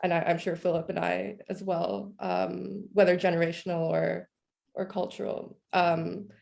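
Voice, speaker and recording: A young woman with a lowish voice and a gravelly fry leads the panel. She speaks in a casual, reflective way and uses a lot of "like". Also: flat delivery, moderate pace, a little mellow. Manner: casual, thoughtful, filler "like". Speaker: moderator. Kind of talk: panel moderation.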